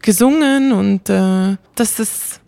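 A woman speaks as an interview guest in a casual, reflective way. Her voice sits at an average pitch for a woman. She sounds enthusiastic and often searches for the right word as she goes. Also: takes it slowly, creaky, dark tone. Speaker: interview guest, classical singer.

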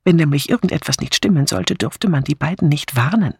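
A man voicing a friendly, chatty old lady in an audiobook, gentle, polite and curious. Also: on the high side, expressive, fairly quick, gravelly, husky, dry recording, clean studio sound, exaggerated voice. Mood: gently insistent. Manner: gentle, polite, slightly gossipy.

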